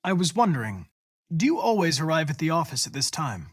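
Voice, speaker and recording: A polite, determined young male android investigator for a video game. His voice sits fairly high for a man and swings widely in pitch. He speaks in formal, exact, controlled phrases, set on his police mission. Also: sing-song, a little fast, breathy edge, dry recording. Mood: earnest, formal. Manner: formal, precise, controlled diction.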